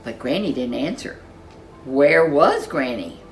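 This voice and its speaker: A woman storyteller reading a children's storybook in an expressive, animated way, with dramatic emphasis. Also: extremely low pitch, a little slow, soft background music.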